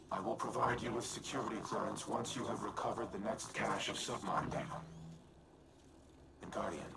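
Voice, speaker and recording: An actor playing an ancient male war AI in a video game, with a measured, formal and grave delivery. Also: fairly low pitch, creaky, heavy background noise. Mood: solemn. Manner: grave and precise, clipped statements. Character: ancient male war AI, solemn and guilt-ridden. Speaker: military AI guiding the player. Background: loud game music.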